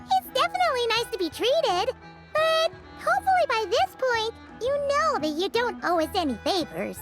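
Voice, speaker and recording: A woman voicing a tiny, excitable, childlike fairy companion in a video game, bubbly and chatty. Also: very high voice, moderate pace, full character voice. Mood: chatty, wry. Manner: refers to self in third person, exclaiming. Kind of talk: animated video game voice acting. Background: music.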